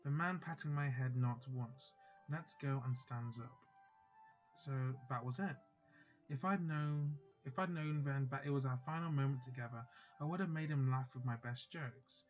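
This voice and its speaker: A man's voice: mid-range voice, normal speed, lo-fi sound, faint music behind. A man hosting a let's play, chatting casually and then reading a visual novel's text aloud.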